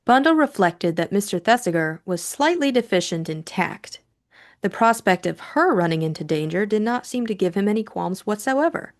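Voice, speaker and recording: A woman's voice: mid-range voice, very animated, normal speed, no echo, clean studio sound. A woman who narrates and discusses a classic mystery novel as an audiobook co-host. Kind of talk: scripted fiction narration and book discussion.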